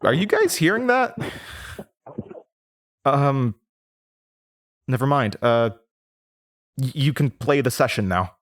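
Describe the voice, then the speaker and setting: A man's voice: mid-range voice, sing-song, takes it slowly, pauses often, a bit bright, no room sound, clean studio sound. A hesitant young Midwestern small-town guy. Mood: unsettled. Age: young adult. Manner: hesitant, stammering, rambling. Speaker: audio drama lead character. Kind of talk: audio drama monologue, conversational testimony.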